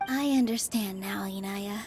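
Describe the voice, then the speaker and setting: A woman voicing a gentle, loyal and earnest young theater performer in dramatic video game dialogue. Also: mid-range voice, measured pace, a little hiss or hum, natural voice. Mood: gentle, thoughtful.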